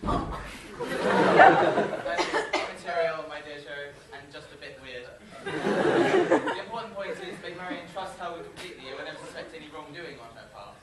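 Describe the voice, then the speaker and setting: A man's voice: fairly high pitch, very animated, normal speed, pauses often, over a noisy crowd. Pompous pantomime villain prince, acted by a man, scheming, very echoey distant stage recording, audience behind. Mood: haughty scheming. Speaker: pantomime actor. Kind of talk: live student pantomime acting. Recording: echoey hall from far off, audience noise.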